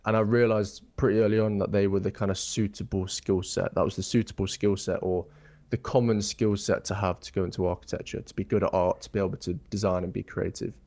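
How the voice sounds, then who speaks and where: A young man from England with a fairly low voice, speaking as a guest on an interview show. He talks in a loose, thoughtful way, full of ums and false starts as he circles back on his words. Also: flat delivery, fast talker, darkish tone. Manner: thoughtful, rambling, many ums and restarts. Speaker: guest architect. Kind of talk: casual interview chat.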